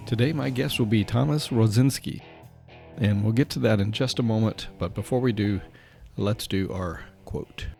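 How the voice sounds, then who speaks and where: A man's voice: on the low side, moderate pace, gravelly, a little mellow, soft background music. A man hosting a podcast, reading a scripted intro and then chatting with his guest in a friendly way with fillers. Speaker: podcast host.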